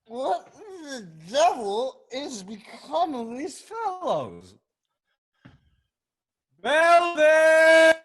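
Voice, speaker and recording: Drunken lecherous rake, slow and slurry. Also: male voice, very high voice, big pitch swings, a bit bright, heavily acted. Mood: drunken, bawdy. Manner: drunken, rambling, wheedling. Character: drunken, lecherous rake, male, wandering at night. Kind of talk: theatrical period comedy acting.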